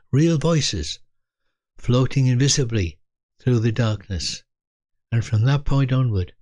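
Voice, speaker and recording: An elderly man in his eighties narrates a reflective, scripted memoir in measured, deliberate short phrases. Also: mid-range voice, a little mellow, no room sound. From Britain. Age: 80s. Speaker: storyteller recalling his youth.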